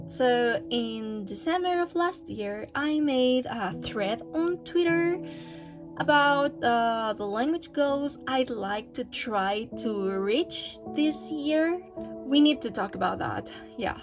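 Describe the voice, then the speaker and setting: A young woman talking casually about her own language goals, with many hesitations and fillers, in a language that is not her first. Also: high-pitched. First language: not English. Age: young adult. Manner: hesitant, many fillers and restarts, soft laughs. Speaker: language-learning vlogger. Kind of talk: casual vlog talk.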